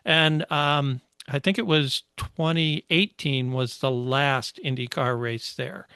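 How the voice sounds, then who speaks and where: A man co-hosting a podcast, chatting casually about motor racing, explaining history at a measured pace with many fillers. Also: medium pitch, steady tone, gravelly, bright, forceful tone, clear, resonant tone, dry recording, studio quality. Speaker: podcast co-host.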